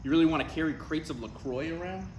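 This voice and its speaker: A man's voice: on the high side, fast talker, natural voice. A man playing a dry, skeptical, easily exasperated adult son in a live comedy table read. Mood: dry, teasing. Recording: echoey live room, faint noise.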